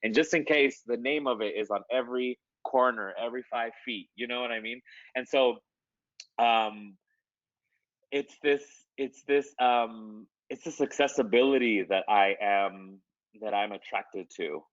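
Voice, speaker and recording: A man's voice: medium pitch, unhurried, fairly bright tone, dry recording, muffled recording. A man, an artist, answering interview questions in a loose, conversational way, with many fillers and restarts. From United States.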